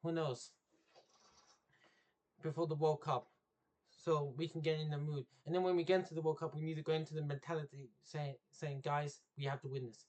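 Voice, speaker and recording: A young man from England chats about football straight to his viewers. His voice sits a little high, and he rambles, doubles back and corrects himself as he goes. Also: steady tone, fairly quick, a little mellow. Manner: rambling, self-correcting, loses his train of thought. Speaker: football vlogger. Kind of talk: casual unscripted vlog.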